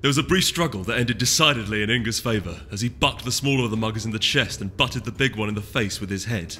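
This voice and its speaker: A man's voice: on the low side, quick pace, sharp tone. A man narrating a scripted story as an audiobook reader. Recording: echoey hall reverb, music behind.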